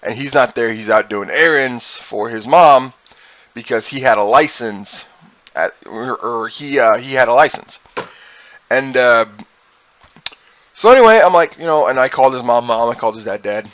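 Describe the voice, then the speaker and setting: A man's voice: mid-range voice, lively intonation, moderate pace, a bit bright. A man, a streamer, telling a personal story casually in a rambling way, acting out bits of dialogue as he goes. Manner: rambling, acts out quoted dialogue, mild profanity.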